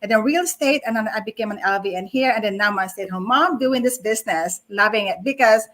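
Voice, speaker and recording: A woman in her fifties hosting an online interview show, speaking in a deliberate, welcoming way with many fillers. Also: medium pitch, fairly bright tone. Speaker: online talk show host.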